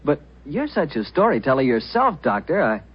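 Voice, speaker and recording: An American man announcing an old radio show, his husky voice fairly high and swinging freely in pitch. He chats and sells in a folksy 1940s style, through a dull vintage broadcast recording. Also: very animated, normal speed.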